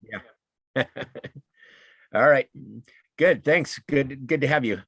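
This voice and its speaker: A man's voice: medium pitch, very slow, a bit bright, husky, dry recording. Laughing, joking man hosting a video call. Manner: chatty, laughs often, loose and joking. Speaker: panel host. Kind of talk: casual video call chat.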